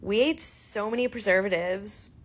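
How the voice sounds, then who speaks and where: A woman's voice: medium pitch, moderate pace. A millennial woman giving a casual, joking explanation to camera. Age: young adult to middle-aged, millennial.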